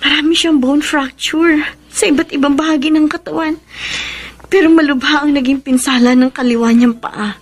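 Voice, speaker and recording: A woman playing a betrayed, soft-hearted young daughter in a Filipino radio drama, plaintive and emotional. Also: high voice, fairly even intonation, normal speed, natural voice. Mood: sorrowful.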